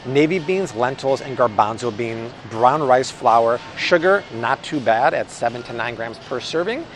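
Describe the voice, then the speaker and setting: American guy in his 30s, chatty n upbeat food review vlog, mid pitch, store noise behind him. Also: animated, measured pace. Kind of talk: casual enthusiastic product review to camera. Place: large supermarket aisle, indoors. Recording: clear store ambience and hum.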